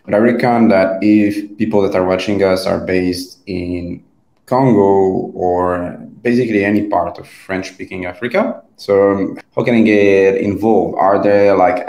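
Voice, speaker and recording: A man in his thirties interviewing a guest over a video call, speaking haltingly with repeated words and "you know". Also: deep voice, gravelly, darkish tone. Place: room indoors. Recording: echoey room on a video call.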